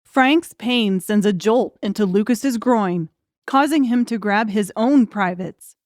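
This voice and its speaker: A woman's voice: mid-range voice, takes it slowly, darkish tone, dry recording, clean studio sound. A woman reading scripted, dramatic audiobook narration.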